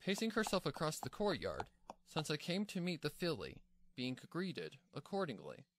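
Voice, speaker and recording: A man's voice: on the high side, huge pitch swings, normal speed, a bit bright, dry recording. A man narrating a scripted story as its narrator.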